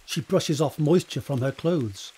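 A man's voice: a little high, a little slow, warm tone, slightly rough. A man narrating scene directions for a period romance audio drama in a scripted present-tense style. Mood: descriptive.

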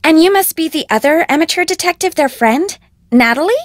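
A woman's voice: sits high, animated, a little fast, no echo, studio quality. An actress playing a young, spoiled American socialite in a video game, gushing and self-important as she talks. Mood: gushing, chirpy. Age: young adult.

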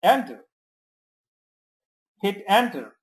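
Software trainer for screen tutorial: unhurried, slow delivery, short instructional commands with frequent check-ins. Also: mid-range voice, big pitch swings. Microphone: screen-recording voice-over.

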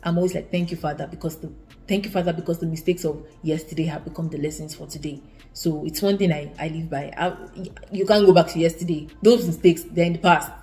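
A woman's voice: low voice, fairly even intonation, fast, mellow, soft background music. A young woman from Nigeria with an Igbo accent, giving earnest, casual advice to camera in a living room and often restarting her sentences. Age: young adult. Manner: earnest, repeats herself, many restarts. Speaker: student vlogger.

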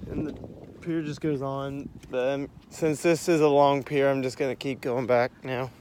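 A man's voice: medium pitch, flat delivery, darkish tone. A young man casually rambling to viewers as he walks outside with a handheld phone, narrating what he sees and often repeating himself. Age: young adult. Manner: repetitive, unhurried narration of surroundings. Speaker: amateur vlogger. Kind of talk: casual rambling walk-along vlog. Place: outdoors, walking along a street and pier trail. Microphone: handheld phone at arm's length. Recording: noisy outdoor phone recording with traffic.

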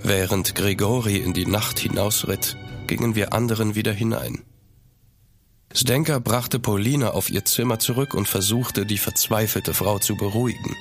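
An actor in a German horror radio play as a sceptical gentleman traveller, measured and grave. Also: on the low side, fairly even intonation, over music, close to an everyday voice. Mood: grave narration. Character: sceptical gentleman traveller recounting a dark tale. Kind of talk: scripted first-person radio drama narration.

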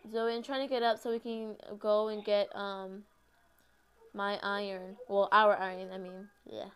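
A woman chatting casually while playing a game, excitable and given to exclaiming. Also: mid-range voice, a little slow, clear, resonant tone. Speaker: gamer. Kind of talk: casual gameplay chat.

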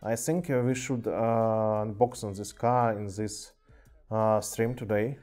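A man with a Russian accent casually chatting on a live stream in halting English, with many fillers. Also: on the low side, fairly even intonation, a little mellow, faint music behind. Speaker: streamer showing toy car collection. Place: indoor room with cluttered table. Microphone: webcam mic.

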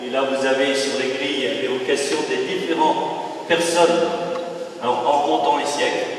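A man's voice: mid-range voice, steady tone, normal speed, a little hiss or hum. A middle-aged man giving a formal speech with a handheld mic at an exhibition opening, with hesitant fillers. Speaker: parish speaker presenting an exhibition. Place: large echoing chapel hall, indoors. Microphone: handheld microphone through a PA, recorded from afar. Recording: echoey hall from far off.

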